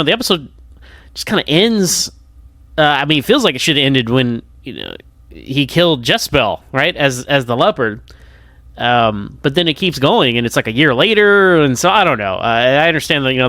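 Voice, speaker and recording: A man's voice: mid-range voice, fairly bright tone, no room sound, studio quality. An American man giving casual, rambling review commentary in a hesitant, conversational way, often interrupting himself. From United States. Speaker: podcast listener giving episode feedback.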